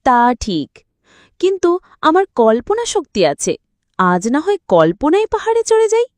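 A woman's voice: high voice, huge pitch swings, a little slow, voice pushed up, heavily acted. A woman voicing a lazy, timid, imaginative rabbit in a children's cartoon, with a whiny, playful manner. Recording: roomy echo with faint music.

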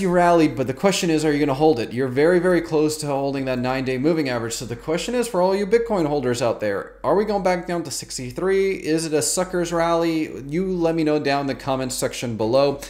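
A man's voice: mid-range voice, darkish tone. A man in his thirties giving emphatic, colloquial market commentary to camera as a YouTube host, often repeating words for stress.

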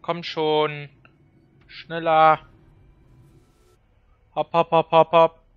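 A man's voice: a little high, monotone, extremely slow, clean tone, highs cut off. A man hosting a let's play with casual, slangy gaming commentary.

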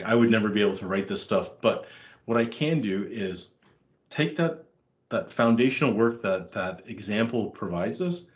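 A lowish male voice talks through a software demo and pauses often on fillers and restarts. He sounds a little hoarse, as if his voice is going. The sound is roomy and somewhat dull. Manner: hesitant, many fillers and restarts. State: losing his voice. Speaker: conference presenter. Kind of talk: screen-share tech session.

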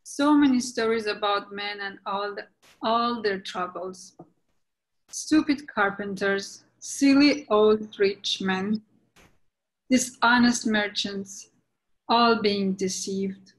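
Woman playing a slow, halting storytelling mother. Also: mid-range voice, close to an everyday voice, rough recording. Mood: wry. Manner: halting read, stumbling over words. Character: jolly, outspoken aging mother, storytelling narrator. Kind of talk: scripted verse play reading. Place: indoors on a video call. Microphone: video call.

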